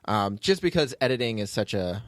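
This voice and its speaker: A man's voice: lowish voice, very animated, a little fast, no room sound, clean studio sound. A man hosting a casual behind-the-scenes podcast chat in a rambling, joking, self-deprecating way. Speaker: podcast host, writer and producer of an audio drama.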